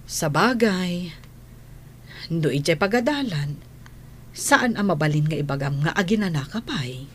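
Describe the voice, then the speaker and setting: A woman's voice: lowish voice, animated, a little slow, pauses often, slight background noise. An actress playing a caring, worried household nanny who fusses over her ward in a radio drama. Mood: worried, coaxing. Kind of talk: Ilocano radio drama acting.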